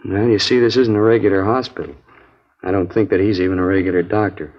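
Low, fast-talking confiding nurse. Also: male voice, steady tone. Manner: colloquial, confiding, plain-spoken. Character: nervy, confiding working-class male nurse informant. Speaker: male nurse. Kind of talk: 1950s radio drama acting. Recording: dull old radio recording.

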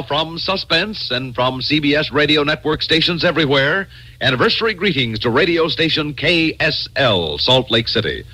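American male announcer, vintage 1960s radio, forceful and lively, faint music underneath. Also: mid-range voice.

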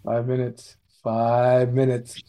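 A man co-hosting an informal show, chatting casually in a halting way and often repeating his words. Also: medium pitch, monotone, mellow. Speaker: co-host running the muting. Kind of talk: casual chat.

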